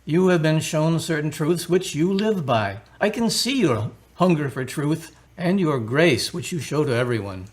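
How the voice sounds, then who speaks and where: Man playing an earnest, kindly priest, higher-pitched voice. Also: lively intonation, measured pace, hardly any pauses. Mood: earnest preaching. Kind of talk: radio drama acting.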